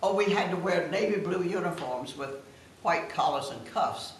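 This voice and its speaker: A woman's voice: on the low side, lively intonation, normal speed. A woman in her nineties with a Southern American accent, telling old stories casually to family. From South Carolina, United States. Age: 90s. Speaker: guest of honour reminiscing. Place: room at a family gathering. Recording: echoey room, distant mic, clear noise.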